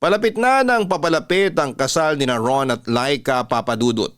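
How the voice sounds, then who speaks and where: Smooth-voiced Filipino male storyteller. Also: medium pitch, moderate pace, fairly bright tone. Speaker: radio-style storytelling host. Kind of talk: emotional first-person scripted narration in classic radio style.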